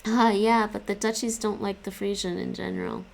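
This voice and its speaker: A woman's voice: medium pitch, fairly even intonation, normal speed, creaky, rough recording. A young woman chatting casually with stream viewers while she studies languages. She stops and restarts often and laughs a lot. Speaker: live streamer studying languages.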